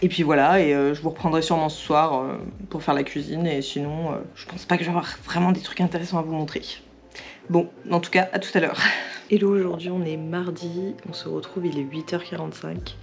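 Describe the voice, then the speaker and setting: A hoarse young woman from France chatting casually in a home vlog, with many fillers and little laughs. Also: low voice, steady tone, fairly quick, a little mellow. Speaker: vlogger. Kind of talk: casual daily vlog chat to camera.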